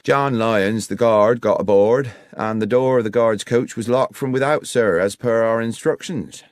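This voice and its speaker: A man's voice: medium pitch, moderate pace, dry recording, clean studio sound, close to an everyday voice. A man voicing an amiable, deferential railway foreman in his fifties, plain-spoken and respectful, for an audiobook. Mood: helpful, respectful. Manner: plain-spoken, respectful, working-class turns of phrase.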